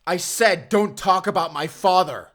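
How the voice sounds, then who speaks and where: A man playing a brooding, hot-tempered Spanish vampire slayer in an audio drama, gruff and curt. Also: high voice, a little slow, background noise. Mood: furious. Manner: gruff, curt, easily angered. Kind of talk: cinematic audio roleplay acting.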